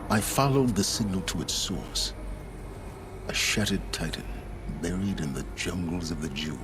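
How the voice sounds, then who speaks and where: An actor playing a learned, calculating older man in a video game, speaking in a measured, self-assured and deliberate way. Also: low voice, expressive, noisy background. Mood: absorbed recollection. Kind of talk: dramatic video game acting. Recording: music behind the voice.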